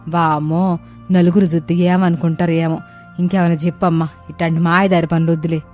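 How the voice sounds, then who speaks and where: A woman's voice: on the low side, flat delivery, fairly quick, a little mellow, natural voice. Middle-aged mother-in-law female actor, complaining and sentimental, dramatic cartoon delivery over faint music. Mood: grumbling. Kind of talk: animated moral-story dialogue.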